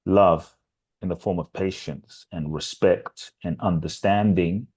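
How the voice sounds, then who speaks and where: A man's voice: deep voice, darkish tone. A man speaking as a podcast guest, a transformational teacher giving earnest answers that build up through repetition. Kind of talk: reflective interview answers.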